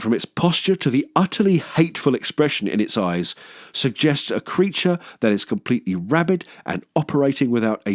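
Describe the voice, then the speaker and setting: A man's voice: mid-range voice, dry recording, studio quality. A man hosting a film review, delivering scripted video essay narration in an emphatic, opinionated way with colourful turns of phrase. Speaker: host of a film review channel.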